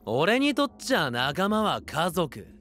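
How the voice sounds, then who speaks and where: An actor playing a brash, cocky gang-boss warlord in an anime audio drama, with rough slangy speech and swagger. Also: male voice, on the high side, big pitch swings, slow pace, fairly bright tone, heavily acted. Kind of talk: Japanese anime voice drama acting.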